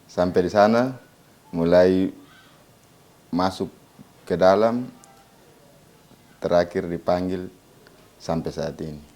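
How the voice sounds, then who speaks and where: A young man with a low-pitched voice and an Alor accent from eastern Indonesia. He is the guest in a relaxed, informal interview. His answers are short and simple. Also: slow, long pauses. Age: young adult. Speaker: interview guest, comedy content actor. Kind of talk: casual chat.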